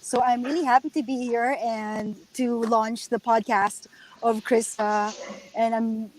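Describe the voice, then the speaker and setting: A woman's voice: on the high side, fairly even intonation, a little slow, a little mellow, slight background noise, poor audio. A woman from the Philippines, a founder joining a video call from outdoors, friendly and eager. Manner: friendly, eager, repeats herself. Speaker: founder and CEO guest. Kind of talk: casual video call chat.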